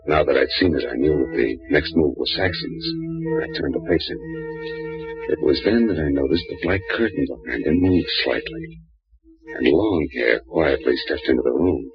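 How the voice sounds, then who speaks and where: An actor playing a wisecracking, hard-boiled male private eye who also narrates, in a 1940s radio drama. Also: rumbling low. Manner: dry, wisecracking, clipped. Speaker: narrator and lead. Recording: dull old radio recording with music.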